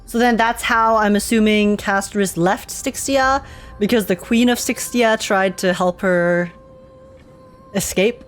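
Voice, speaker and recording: A woman streaming a video game, reacting to the story in casual, animated, expressive commentary and talking back to it. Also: medium pitch, unhurried. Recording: game music behind the voice. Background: video game music.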